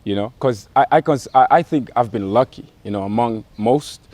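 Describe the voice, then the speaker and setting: A man's voice: mid-range voice, normal speed, no echo. Male, 30s, from Kenya, mid-range voice, laid-back interview guest who restarts a lot. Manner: relaxed, restarts a lot, laughs easily. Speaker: guest musician. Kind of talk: casual interview chat.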